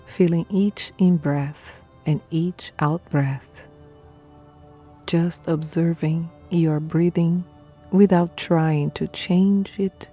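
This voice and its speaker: A woman guiding a meditation in a calm, unhurried, soothing manner, leaving pauses between short phrases. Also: low-pitched, breathy edge. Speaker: meditation guide. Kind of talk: guided meditation, scripted narration.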